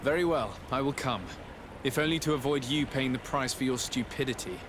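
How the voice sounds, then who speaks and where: A man's voice: medium pitch, a little fast, background noise, lightly acted. A man playing a stern noble legate. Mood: composed. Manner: formal, commanding. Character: authoritative male noble legate, composed. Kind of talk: video game voice acting.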